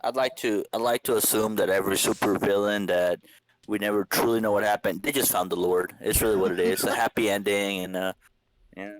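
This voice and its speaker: A man's voice: on the low side, fairly even intonation, a little fast, rough recording. A man co-hosting a podcast with wry, jokey, casual banter. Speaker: podcast co-host.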